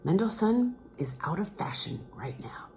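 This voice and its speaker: A woman's voice: fairly low pitch, sing-song, unhurried, darkish tone. A woman voicing a courteous, assured male concert singer and teacher in a novel reading, with a genteel, instructing manner. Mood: indulgent, curious. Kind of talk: storybook character reading from a literary novel.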